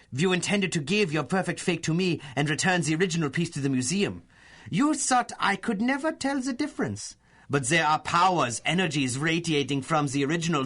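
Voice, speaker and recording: An actor playing a pompous, fanatical German professor villain with a German accent in a 1930s-style radio adventure serial, gloating theatrically with drawn-out emphasis. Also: male voice, fairly high pitch, a bit bright. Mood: coldly sly.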